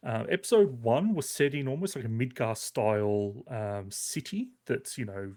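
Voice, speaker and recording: A man's voice: medium pitch, lively intonation, normal speed, mellow. Casual man reviewing a video game.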